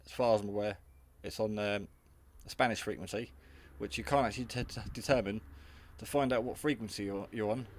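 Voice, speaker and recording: Low-pitched man giving a casual gadget demo. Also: fairly quick, hardly any pauses. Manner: hesitant, rambling, matter-of-fact. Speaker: hobbyist radio reviewer. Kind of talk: casual hands-on product demonstration. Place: garage.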